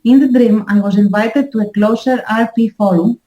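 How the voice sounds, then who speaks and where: A woman's voice: a little high, fairly even intonation, normal speed, dark tone, echoey room, poor audio. A woman on a podcast, reading out a strange dream story in a wry, reflective way. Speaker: podcast guest. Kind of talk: casual chat, reading a story.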